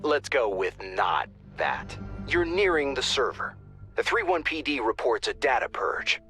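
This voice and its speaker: Man, bright forceful voice, tactical game handler, brisk, dry wit, background music. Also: mid-range voice, very animated. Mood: brisk, businesslike. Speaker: tactical mission controller. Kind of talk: scripted game mission briefing.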